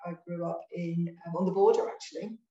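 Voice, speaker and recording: A woman from Ireland, a health service director talking as a guest in an interview, measured and often restarting her phrases. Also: on the low side, fairly even intonation, warm tone, echoey room, muffled recording. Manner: measured, with frequent restarts and fillers. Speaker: NHS trust managing director, guest. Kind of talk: interview conversation.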